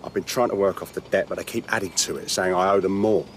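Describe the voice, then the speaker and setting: A man's voice: sits low, fairly even intonation, fast talker, a little hiss or hum, natural voice. A man playing an earnest, hard-up ordinary Londoner in a video game, with a British accent. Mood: worried.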